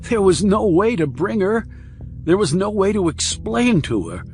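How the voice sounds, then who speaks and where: A man's voice: sits high, sing-song, measured pace, darkish tone, faint music behind, voice pushed up, heavily acted. A man voicing a guilty, desperate father in an audiobook thriller, distraught, pleading and defensive.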